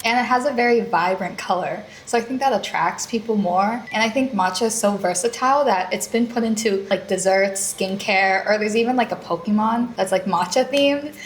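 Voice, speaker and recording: A woman's voice: mid-range voice, brisk, few pauses, a little hiss or hum, poor audio. A young woman from Pennsylvania, a university student chatting casually and thoughtfully, with frequent "like" fillers. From Pennsylvania, eastern US. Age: young adult. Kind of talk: casual chat.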